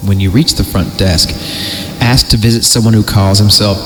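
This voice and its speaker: A man's voice: lowish voice, steady tone, normal speed, background noise. A man hosting a horror story podcast, narrating theatrically and breaking off for joking asides when he stumbles. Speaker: podcast host reading horror stories.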